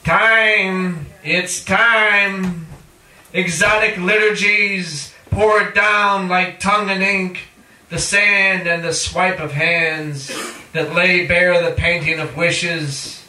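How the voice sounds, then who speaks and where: A man performs spoken-word poetry in a high, piercingly bright voice. He takes his time, stretching and chanting phrases dramatically. The recording is distant, made in an echoey room. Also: fairly even intonation. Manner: dramatic chanting delivery with drawn-out phrases and cries. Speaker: poet reading own work. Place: indoor live reading at a cafe.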